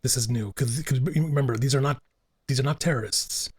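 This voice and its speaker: A man's voice: mid-range voice, brisk, husky. A man whose first languages were Italian and Spanish, talking earnestly on a podcast and repeating himself for emphasis. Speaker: podcast co-host. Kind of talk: casual podcast chat.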